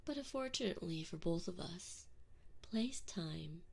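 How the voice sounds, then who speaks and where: A woman's voice: on the low side, animated, full character voice. A woman voicing a sadistic, smug female alien enforcer in an ASMR roleplay, taunting and condescending, sometimes dropping to a whisper. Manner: slow, taunting, condescending, slipping into breathy whispers. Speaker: audio roleplay performer. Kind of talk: ASMR roleplay acting, addressing the listener.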